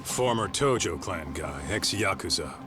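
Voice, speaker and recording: An actor voicing a blunt, streetwise ex-gangster in a video game. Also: male voice, sits low, lively intonation, measured pace. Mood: gruff. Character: gruff tough male ex-yakuza in his thirties. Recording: music playing behind. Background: music.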